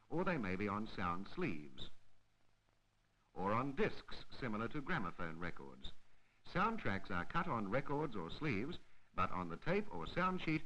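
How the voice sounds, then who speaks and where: A man's voice: on the low side, pushed and bright, poor audio. A man reading scripted narration for a 1960s educational film in a formal, instructional manner.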